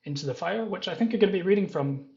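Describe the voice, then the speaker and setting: A man moderating a panel, introducing the guests in a halting, self-correcting and apologetic way. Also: high-pitched, lively intonation, gravelly, a little mellow, reverberant, muffled recording.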